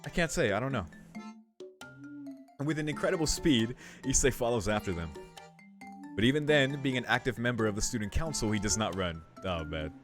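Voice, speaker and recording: A man's voice: mid-range voice, animated, fairly quick, over music. A young man giving casual playthrough commentary, thinking aloud informally and reading game text out as he goes. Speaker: video game streamer.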